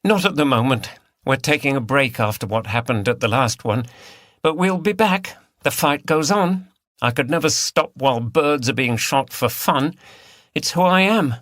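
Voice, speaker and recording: A man's voice: mid-range voice, lively intonation, moderate pace, a bit bright, no echo, clean studio sound, voice pushed up, close to an everyday voice. A man voicing an earnest, outspoken woman activist in an audiobook, with a frank manner. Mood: earnest, defiant. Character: earnest, feisty, outspoken female countryside activist. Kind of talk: audiobook character dialogue.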